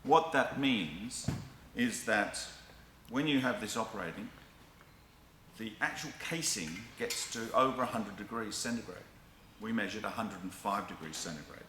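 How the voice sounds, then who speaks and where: A man presenting a product demonstration in a deliberate, explanatory way with emphatic stresses. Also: lowish voice, animated, fairly bright tone. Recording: echoey room, faint background noise.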